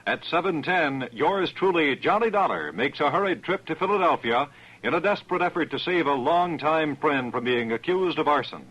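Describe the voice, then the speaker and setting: A husky, fairly high-pitched American man reads station promos in 1950s radio style. The broadcast recording is old and muffled. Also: lively intonation, moderate pace, a bit bright. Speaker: station announcer.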